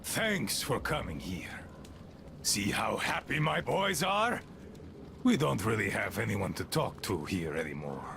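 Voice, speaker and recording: A bitter, crippled outpost leader in a video game, ranting with mocking scorn. His gravelly, rough voice swings up and down in pitch as he drags out his words slowly. Clear ambient game noise hums behind him. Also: sing-song, full character voice. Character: embittered wounded male outpost leader.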